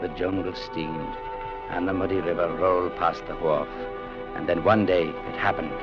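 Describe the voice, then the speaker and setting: An actor playing a firm, plainspoken young clerk who also narrates, in 1940s radio drama style on an old recording. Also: male voice, low voice, fairly even intonation, normal speed, highs cut off, loud music behind the voice, natural voice. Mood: narrating. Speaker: narrator and lead.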